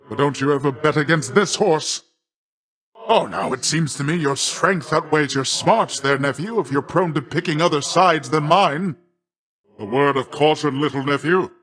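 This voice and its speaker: An actor playing a boastful, affectionate older uncle who is a sea god, pompous and theatrical, with grand old-fashioned wording, for a video game. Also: male voice, fairly high pitch, fairly bright tone, natural voice. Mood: chiding, warning. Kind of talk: video game character voice acting.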